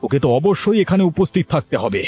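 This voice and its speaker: A man's voice: fairly high pitch, big pitch swings, fast talker. A man voicing a scheming, stern elder brother from a farming village in a cartoon, with an argumentative, reproving manner. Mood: annoyed. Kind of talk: Bengali cartoon story dubbing. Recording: dull lo-fi audio, music bed.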